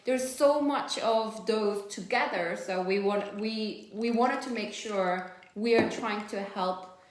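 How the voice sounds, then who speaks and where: A woman's voice: medium pitch, slow, heavy background noise. A woman in her thirties from South Korea with a Korean accent, chatting casually and telling stories in English, which is not her first language. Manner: chatty, storytelling, loose non-native grammar. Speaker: host of a live stream. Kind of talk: casual chat. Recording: echoey room.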